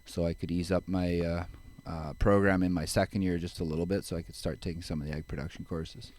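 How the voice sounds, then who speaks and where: Deep-voiced middle-aged man from Holland. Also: fairly even intonation, fast talker, darkish tone. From Netherlands. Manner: easygoing, chuckles while telling stories. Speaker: guest, genetics advisor. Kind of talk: relaxed interview storytelling.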